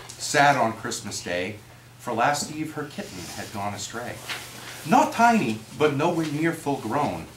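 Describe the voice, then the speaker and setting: A man presenting to a live audience in an expressive, storybook reading style. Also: medium pitch. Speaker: program presenter and poem reader. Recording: echoey room from far off, faint noise.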